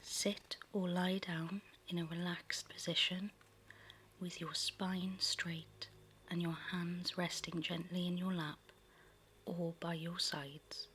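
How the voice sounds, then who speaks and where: A woman guiding a meditation in a soft, breathy, soothing half-whisper, reading as scripted narration. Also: lowish voice, little pitch movement, slow, a little hiss or hum. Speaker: meditation guide. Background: meditation music.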